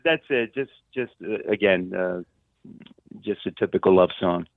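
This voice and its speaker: A man's voice: deep voice, unhurried, no room sound. A man from the United States chatting casually as a musician guest on a remote line, informal, rambling and hesitant. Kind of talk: casual interview chat. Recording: narrow-band phone guest line.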